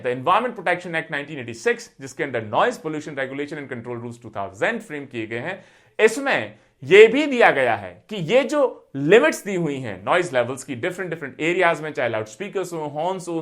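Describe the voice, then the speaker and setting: Animated higher-pitched man giving a lecture. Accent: Indian. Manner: didactic, repeats and lists points for emphasis. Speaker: teacher for civil service exam aspirants. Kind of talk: explanatory lecture mixing Hindi with English terms.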